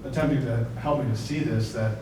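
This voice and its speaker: A man's voice: medium pitch, brisk. A man in a seminar audience informally sharing a personal experience, searching for his words as he goes. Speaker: seminar participant. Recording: very echoey, noisy room, distant mic.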